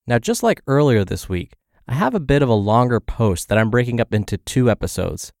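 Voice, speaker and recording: A man's voice: mid-range voice, expressive, fairly quick, a little mellow, no echo, studio quality. A man hosting a podcast, reading health and fitness blog posts aloud while congested and scratchy from the flu. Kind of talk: read-aloud narration.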